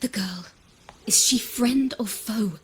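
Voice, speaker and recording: A friendly, excitable young woman from a video game. Her voice is high and airy, and she speaks in an expressive, theatrical, playful way. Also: slow, slightly rough, heavily acted. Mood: playful, curious. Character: friendly, excitable, dramatic young female musician.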